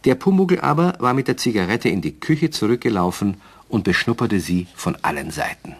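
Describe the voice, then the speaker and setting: Low-voiced male storyteller. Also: big pitch swings, fairly quick, husky. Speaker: narrator of a radio play. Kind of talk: scripted storytelling narration.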